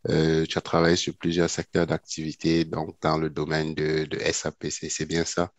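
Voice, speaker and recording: A man's voice: a bass voice, completely flat delivery, normal speed, no echo. A man hosting an interview as a project management coach, speaking with some hesitation and fillers. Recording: music playing behind.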